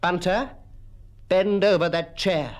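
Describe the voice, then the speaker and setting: A man's voice: sits high, takes it slowly, voice pushed up. A British man voicing a grim, formal schoolmaster in a storybook, stern and pompous in his delivery. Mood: outraged, thundering. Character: grim, stern male schoolmaster, severe and indignant. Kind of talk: character voice in a storybook reading.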